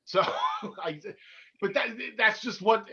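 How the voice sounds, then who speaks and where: Fast-talking North Jersey man, bright. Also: high-pitched, gravelly, slightly rough, lo-fi sound. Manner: fast-talking, excitable, stumbling restarts. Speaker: podcast co-host. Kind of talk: casual wrestling chat.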